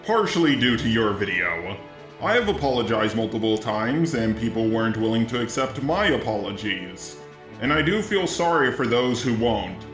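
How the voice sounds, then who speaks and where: A synthetic male robot voice delivers scripted commentary at a middling pitch. The sound is echoey, with music playing behind it. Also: big pitch swings, moderate pace, fairly bright tone. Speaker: commentary video host.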